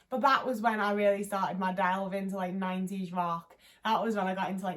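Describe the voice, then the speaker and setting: Chatty young woman with a Liverpool accent. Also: medium pitch. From England. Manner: rambling, frequent "like" fillers, sweary and candid. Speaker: vlogger. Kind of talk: casual chat to camera.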